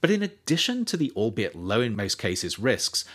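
A man reading his own nonfiction book aloud as an audiobook, in a measured, steady delivery. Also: fairly low pitch. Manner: measured, animated intonation. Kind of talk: audiobook narration.

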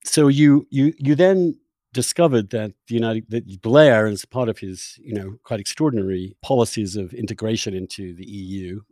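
A man's voice: on the low side, warm tone, dry recording, clean studio sound. A man hosting a conversational interview, asking probing questions and often rephrasing them as he goes. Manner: halting, rephrases questions repeatedly. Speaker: interviewer, podcast host.

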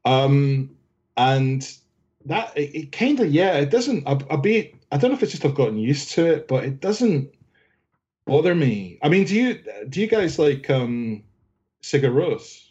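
A man co-hosting a podcast, chatting casually about music in a rambling, animated way with lots of fillers. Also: a little high, unhurried, lo-fi sound. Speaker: podcast co-host. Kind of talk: casual album discussion.

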